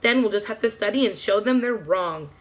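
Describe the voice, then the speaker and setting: A woman's voice: medium pitch, lively intonation, a little fast, natural voice. A woman voicing a loyal young female classroom helper in a storybook, firm, earnest and defiant. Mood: encouraging, defiant.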